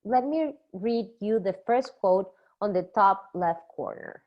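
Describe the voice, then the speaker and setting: A young woman from Peru, a doctoral student, reading a measured academic poster presentation from a script. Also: mid-range voice, lively intonation. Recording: slightly dull lo-fi recording.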